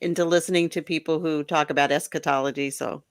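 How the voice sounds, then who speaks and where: A woman's voice: sits low, fairly even intonation, fast, dry recording, studio quality. A middle-aged woman who is a guest on a casual chat show, easygoing and laughing often.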